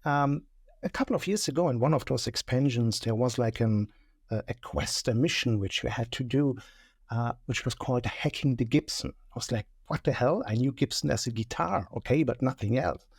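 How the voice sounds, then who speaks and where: A man's voice: medium pitch, moderate pace, gravelly, slightly rough, no room sound, studio quality. A man in his forties from Germany with a German accent, chatting casually and joking about himself as a podcast guest. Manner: chatty, self-deprecating, joking. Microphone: studio mic.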